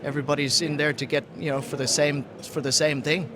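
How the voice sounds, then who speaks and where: A man's voice: fairly high pitch, steady tone, fairly quick, pushed and bright. A man with an Irish accent, who grew up in Ghana, giving a casual interview and often restarting his sentences as he searches for words. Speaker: interview guest, sells to mining industry. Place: trade conference floor. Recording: busy conference crowd behind.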